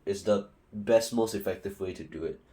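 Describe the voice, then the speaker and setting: A man's voice: lowish voice, normal speed, dark tone. A young man from Singapore with a Singaporean English accent, giving casual, rambling study advice full of fillers and restarts. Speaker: student tutor.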